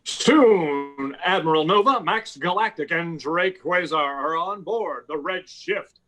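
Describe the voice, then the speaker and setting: A man's voice: fairly high pitch, slow, a bit bright, rough recording. A man playing the narrator of a comic space serial, announcing in radio drama style. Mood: dramatic.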